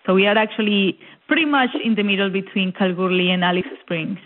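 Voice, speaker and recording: A woman's voice: medium pitch, a little fast. A young woman from Colombia with a Colombian accent, a guest in an interview who talks eagerly and repeats words as she searches for them. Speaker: guest, cultural centre officer. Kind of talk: casual interview chat. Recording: tinny phone line.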